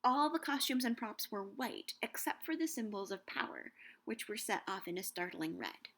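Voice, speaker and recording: A young woman giving a scripted, measured talk on Shakespeare and books, slowing down to savor quoted lines. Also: medium pitch, fairly bright tone. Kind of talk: scripted educational narration.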